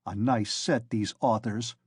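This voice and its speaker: A man voicing a pompous, hot-tempered police officer who shouts and blusters. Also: medium pitch, fairly even intonation, unhurried, breathy edge, no echo, clean studio sound. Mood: contemptuous.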